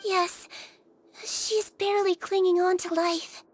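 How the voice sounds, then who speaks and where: A woman voicing a small, gentle, girlish nurse creature in a video game, calm, caring, soft-spoken and earnest in measured sentences. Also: very high-pitched. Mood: solemn, reflective. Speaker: nurse and doctor.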